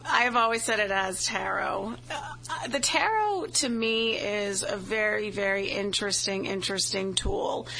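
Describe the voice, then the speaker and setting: A woman in her forties with a strong Boston accent talks about tarot and her events in an easy, chatty way. Her voice is bright and gravelly with a lot of fry. A faint hum runs under the recording. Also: mid-range voice, low-quality recording. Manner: chatty, laughs often, enthusiastic. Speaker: tarot reader and medium. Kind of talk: casual live interview.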